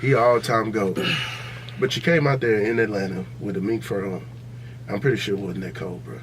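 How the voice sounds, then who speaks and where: A man's voice: lowish voice, steady tone, a little fast, slight background noise. An American man co-hosting a podcast in casual, profane banter, speaking bluntly and emphatically and repeating his points. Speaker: podcast co-host.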